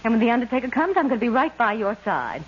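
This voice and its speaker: A breezy female spirit in a vintage radio comedy. She is coaxing and self-assured, with animated intonation, on a dull, hissy old recording. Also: on the high side, fast talker. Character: breezy, coaxing female ghost posing as nurse. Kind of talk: 1940s radio comedy acting.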